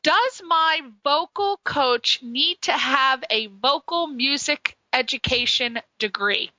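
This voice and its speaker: Bright, high-voiced woman radio host. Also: slow, dry recording, dull audio. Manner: animated, self-deprecating, chatty. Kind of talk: hosting a listener-question talk show.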